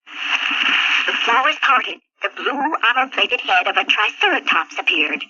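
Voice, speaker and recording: A woman reads a children's storybook in a high, very bright voice. Her scripted narration swings through lively pitch changes. It plays back muffled, like an old 1970s talking toy record. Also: a little slow, slightly rough.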